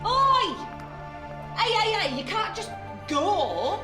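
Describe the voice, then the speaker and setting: A woman's voice: very high-pitched, very animated, slow, heavily acted. An actress playing a plucky, anxious female office worker in an audio drama, with colloquial, flustered delivery. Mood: flustered, indignant. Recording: echoey room, music underneath.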